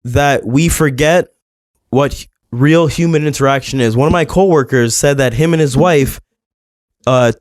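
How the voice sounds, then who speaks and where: A man's voice: mid-range voice, moderate pace, no room sound, studio quality. A man co-hosting a podcast, telling stories in casual chat, swearing freely and stumbling over words. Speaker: podcast co-host.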